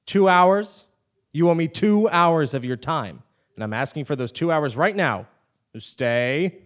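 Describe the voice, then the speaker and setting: A man's voice: a little high, animated, a little slow. A man playing a stubborn, defiant commoner in a comic stage play, emphatic and sarcastic. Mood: determined.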